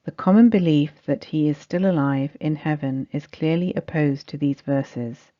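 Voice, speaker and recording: A woman's voice: low voice, moderate pace, warm tone. A woman reading a scripted religious study text aloud as narration. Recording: dull, muffled lo-fi recording.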